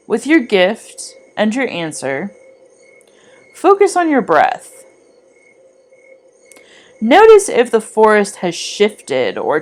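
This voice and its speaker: A woman reading a scripted guided meditation in a soothing, deliberate, gently instructive manner. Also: on the low side. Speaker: meditation guide, art teacher.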